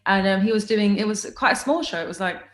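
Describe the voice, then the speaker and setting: A woman's voice: medium pitch, fast. A woman from Switzerland, a musician guest chatting casually and bubbly in an interview.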